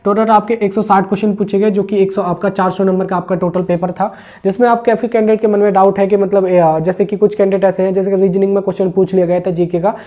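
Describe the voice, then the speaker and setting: A man talks quickly in a soft, mellow tone. He explains things informally like an online coaching host, circling back over each point and checking that listeners follow. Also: sits high, level tone. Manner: repetitive, with frequent check-in tags. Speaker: exam-update YouTube host. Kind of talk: informal explanatory exam briefing.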